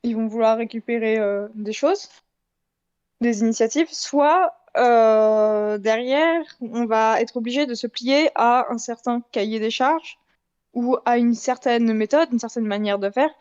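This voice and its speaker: A woman explaining her opinion in a casual debate, in a measured way, on a slightly dull-sounding mic. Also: a little high, a little mellow, clear, resonant tone. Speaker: debate participant, amateur audio fiction maker.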